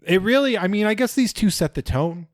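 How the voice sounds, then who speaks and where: A man's voice: high voice, lively intonation, fairly quick. A man chatting casually as a podcast co-host, with a wry, joking manner. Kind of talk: casual comedic chat.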